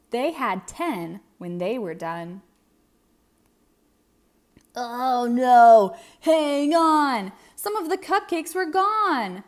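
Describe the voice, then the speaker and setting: A woman reading a picture book aloud for children. Her pitch is fairly high and moves a great deal. She takes it slowly, with expressive, dramatic emphasis. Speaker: storybook narrator. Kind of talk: children's read-aloud narration.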